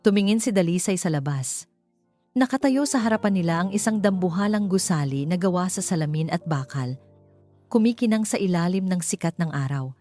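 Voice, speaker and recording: A Filipino woman tells a romantic drama as a scripted story. Her voice sits fairly low and she delivers it with measured drama, with soft music playing behind her. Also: expressive. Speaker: storyteller, narrator. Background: background music.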